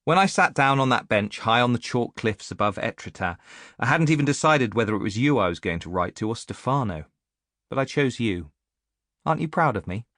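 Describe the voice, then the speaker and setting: A man's voice: medium pitch, animated, fairly quick, fairly bright tone, dry recording, clean studio sound, natural voice. A man reading an audiobook as a reflective, self-mocking woman in her late thirties, musing and confiding as in a letter. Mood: musing, wry. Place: dry recording studio.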